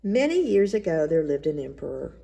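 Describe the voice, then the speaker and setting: A woman reading a children's story aloud in an expressive, measured narrating style. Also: low voice, creaky. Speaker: storybook reader.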